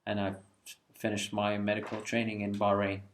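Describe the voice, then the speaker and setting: A man's voice: lowish voice, completely flat delivery, normal speed. A man in his twenties from Iraq, a junior doctor answering interview questions in a friendly, candid way. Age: 20s. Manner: friendly and candid, laughs lightly. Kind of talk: relaxed conversational answers.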